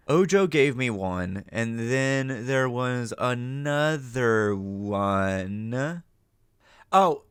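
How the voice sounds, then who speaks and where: A man with a clear, smooth voice, recorded close and dry. He hosts reactions in a casual, unscripted way, rambling from one thought to the next, cursing freely and poking fun at himself. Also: mid-range voice, a bit bright, no echo. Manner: self-deprecating, sweary, scattered and rambling. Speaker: reaction video host. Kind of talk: casual unscripted chat to viewers.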